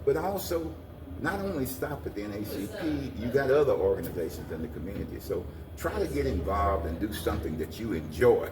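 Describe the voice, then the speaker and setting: A man's voice: fairly low pitch, big pitch swings, brisk, a crowd in the background. A man giving earnest, rambling interview answers, often repeating his phrases. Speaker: guest, community organization president. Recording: echoey, distant mic, noisy room.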